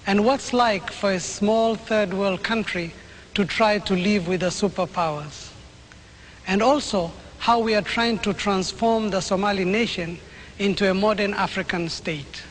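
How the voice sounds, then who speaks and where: A man narrating a documentary in an earnest, measured scripted delivery. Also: high voice, fairly bright tone, slight background noise.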